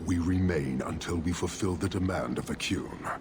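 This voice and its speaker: An actor playing a stern, disdainful warrior leader of an inhuman fantasy race in a video game, speaking in a measured, solemn way. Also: male voice, deep voice, noisy background, close to an everyday voice. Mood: solemn conviction. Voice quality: gravelly. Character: stern imposing male Qunari warrior leader, disdainful and rigid. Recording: game audio with loud background ambience.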